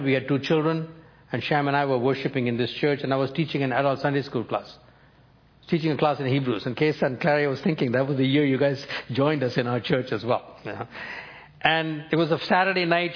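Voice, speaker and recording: A man in his seventies, born and raised in North India, preaching a sermon by telling his own life story in a measured, storytelling way. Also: mid-range voice, dull audio. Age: 70s. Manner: personal storytelling, measured with emphatic lifts.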